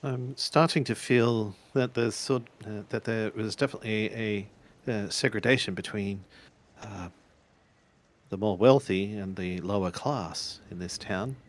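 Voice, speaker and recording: A man playing a tabletop roleplaying game, improvising casually with halting phrasing. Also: fairly low pitch.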